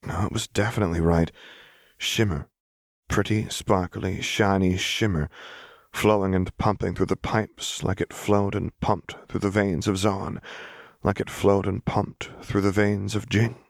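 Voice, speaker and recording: Low-voiced male story narrator, very dry sound. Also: flat delivery, a little slow, gravelly, no room sound. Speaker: audiobook narrator. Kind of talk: dramatic scripted fiction narration.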